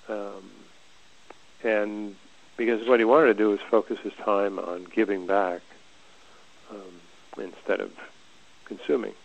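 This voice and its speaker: A soft-spoken man with a low, gravelly voice speaks haltingly, restarting his sentences often as he explains. His audio comes through dull and lo-fi, like a remote call. He talks as a guest in a relaxed conversation. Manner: hesitant, halting with many restarts.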